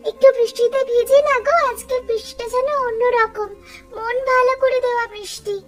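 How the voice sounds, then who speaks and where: A woman's voice: very high-pitched, fairly even intonation, a little fast, soft background music. A woman playing a cheerful young village daughter who loves the rain, in a Bengali cartoon story. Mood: carefree.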